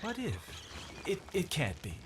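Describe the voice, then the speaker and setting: Game role: nervous haunted treasure hunter, high and very animated, theatrical and halting, heavy effects noise behind. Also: male voice, heavily acted. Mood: shaken, wistful. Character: anxious, haunted male treasure-seeking guide in a sci-fi game. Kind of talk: dramatic video game character dialogue.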